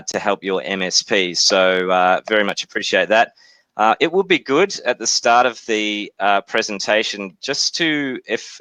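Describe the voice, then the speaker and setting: A man in his forties with an Australian accent, politely presenting his software company in a webinar, speaking in a measured way with frequent hesitations. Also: lowish voice, no room sound, poor audio.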